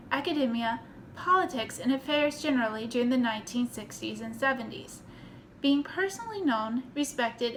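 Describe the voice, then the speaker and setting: A woman's voice: sits high, a bit bright. A woman narrating a scripted tribute essay, reading it aloud. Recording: noticeable background noise.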